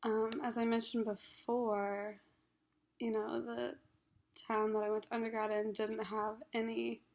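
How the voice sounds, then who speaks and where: A young woman from Ohio in her twenties, a graduate counseling student introducing herself for a class, hoarse from a cold, halting with many ums and frequent throat clearing. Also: a little high, level tone. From Ohio, USA. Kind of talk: class self-introduction video.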